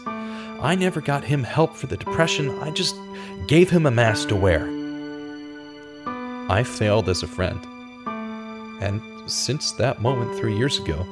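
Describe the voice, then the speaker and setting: Earnest, guilt-ridden man acting a journalist with expressive delivery. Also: medium pitch. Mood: grieving, determined. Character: earnest guilt-ridden male investigative journalist in his thirties. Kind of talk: audio drama acting with narration.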